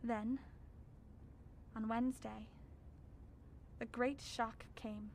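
Woman acting a grave, ominous scholar, higher-pitched voice. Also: flat delivery, a little hiss or hum. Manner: formal, measured, ominous. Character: grave, dread-filled scholar Dr. Armitage recounting horrors. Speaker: actor narrating. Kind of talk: radio drama narration as a written record.

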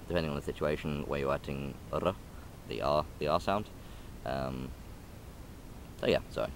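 Very deep-voiced young man rambling outdoors. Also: slight background noise. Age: young adult. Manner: hesitant, many ums and restarts. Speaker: vlogger. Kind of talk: casual rambling vlog. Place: outdoors in a park by a railway line. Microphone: phone or camera held close.

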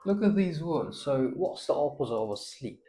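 A man's voice: on the high side, animated, moderate pace, mellow. A young man giving a spoken English test, patiently prompting and correcting a student's answers in a small classroom. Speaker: English teacher.

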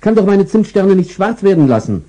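A man's voice: sits high, very animated, fairly quick, mellow, voice pushed up, low-quality recording. A man voicing a flustered housewife who scolds her dog in an exasperated way, in a storybook reading. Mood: frantic.

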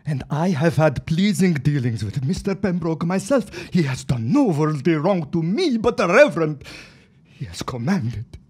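An actor playing an anguished, subservient henchman in a period audio drama, with grave, formal, halting speech and a husky voice. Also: a little high, sing-song, darkish tone. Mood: troubled.

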